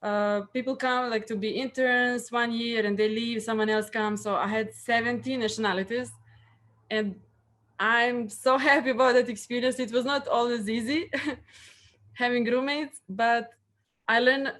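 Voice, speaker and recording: Young Serbian-accented woman, fairly high voice, halting and repetitive, interview guest. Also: fairly even intonation, a bit bright. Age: young adult. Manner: halting, repeats words, many fillers. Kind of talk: interview answers.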